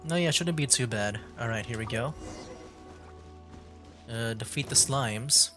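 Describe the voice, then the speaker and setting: A man gives relaxed, offhand commentary while streaming a game. His pitch is middling for a male voice, and game music plays clearly behind him. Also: a little slow. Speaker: streamer, gamer. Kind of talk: casual gameplay commentary.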